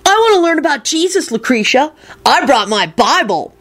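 A woman voicing a whiny, childish, excitable male slacker in a storybook reading. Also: sits high, normal speed, pitched above the performer's own voice. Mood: whiny, pleading. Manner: shrieking and whining.

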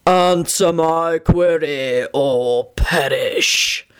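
A woman voicing a huge, malevolent male stone sphinx, with deep rumbling, slow and dramatic pronouncements in a storybook reading. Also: clear, resonant tone. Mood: menacing, grand.

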